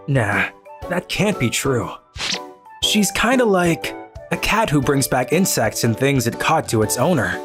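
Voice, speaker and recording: Cool-headed teenage anime boy played by a woman, low voice, dry and exasperated narration, music underneath. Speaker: narrator and lead character of a manga video. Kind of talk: scripted first-person anime narration and dialogue. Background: background music.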